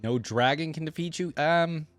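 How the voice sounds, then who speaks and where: A man's voice: fairly high pitch, normal speed. A man chatting informally as a reaction video host, musing and speculating as he goes. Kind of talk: casual chat, reacting to game lore.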